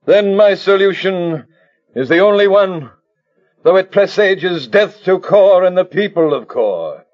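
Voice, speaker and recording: Casting: grave alien leader-scientist, high voice, theatrical declamation, old sci-fi radio serial with dull lo-fi sound. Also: male voice, expressive, unhurried, darkish tone. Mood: solemn. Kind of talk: old-time radio sci-fi drama acting.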